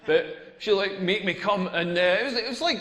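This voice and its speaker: High-pitched man doing stand-up, echoey hall. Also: moderate pace, pushed and bright. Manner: rambling, crude, repeats himself for effect. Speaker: stand-up comedian. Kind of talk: stand-up comedy routine. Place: live comedy venue. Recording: echoey hall with long reverb.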